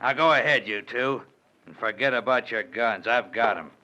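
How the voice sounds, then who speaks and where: An actor playing a ruthless, mocking outlaw boss in 1940s radio acting style. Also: male voice, medium pitch, normal speed, pushed and bright, lightly acted. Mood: gloating. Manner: mocking, taunting. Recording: muffled old radio recording.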